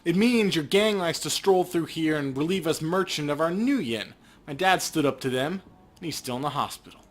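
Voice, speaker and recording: A man's voice: a little high, expressive, moderate pace, voice pushed up. A man voicing a surly, hostile younger meat merchant in a video game, curt and dismissive. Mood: bitter.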